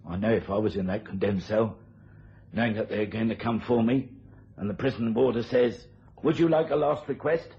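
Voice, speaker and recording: A man's voice: lowish voice, normal speed, vocal fry, echoey room, slight background noise. An actor playing a chatty, jokey British barman in a radio drama. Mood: joking. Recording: dull lo-fi radio drama recording.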